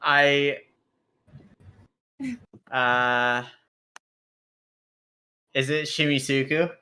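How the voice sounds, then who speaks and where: A man's voice: mid-range voice, level tone, extremely slow, pushed and bright. A man playing a tabletop game, bantering casually in a teasing, sweary way.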